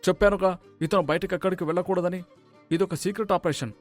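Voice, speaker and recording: A man's voice: high voice, fairly even intonation, quick pace, soft background music, close to an everyday voice. A man voicing a suspicious, threatening gangster in a dramatic story, urgent and menacing. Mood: wary, questioning. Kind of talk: dramatic moral-story voice acting.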